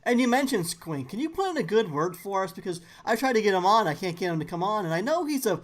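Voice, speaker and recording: Easygoing podcast host, mid-pitched. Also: sing-song, quick pace. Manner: easygoing, with fillers and long questions. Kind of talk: casual interview chat.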